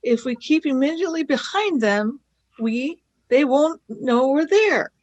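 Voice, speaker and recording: Young female sidekick, acted by a woman, high voice, unhurried delivery, plucky and cheeky, radio drama. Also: animated, a little mellow, highs cut off. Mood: defiant, cheeky. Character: plucky young girl companion, cheeky and impatient. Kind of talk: radio play acting.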